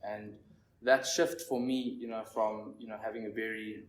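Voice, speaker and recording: A nervous young man in his twenties from Zimbabwe, sharing his recovery story at a meeting in a halting, rambling way. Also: lowish voice, little pitch movement. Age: 20s. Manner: halting, rambling, heavy on fillers. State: nervous, shaky. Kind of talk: personal recovery share. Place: meeting room with an audience. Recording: echoey room, distant mic, faint noise.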